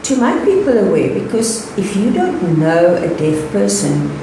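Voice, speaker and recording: A woman's voice: fairly low pitch, very animated, unhurried, warm tone, distant mic. A woman hosting a radio interview, encouraging her guest with many short affirmations. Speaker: radio host. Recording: echoey live feed with noise.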